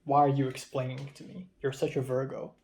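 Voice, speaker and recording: A young man with a Hong Kong English accent and a raspy, muffled voice, talking in a rambling, self-deprecating way in a confessional vlog, with many um fillers. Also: medium pitch, completely flat delivery, a little fast, a little mellow. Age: young adult. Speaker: vlogger.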